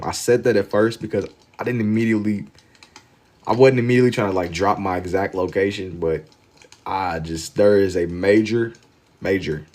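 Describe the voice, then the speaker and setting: Deep-voiced American man chatting on a livestream. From Louisville, Kentucky, United States. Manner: slangy, amused, repeats phrases for emphasis. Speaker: livestream host. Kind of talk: casual chat with live viewers while drawing.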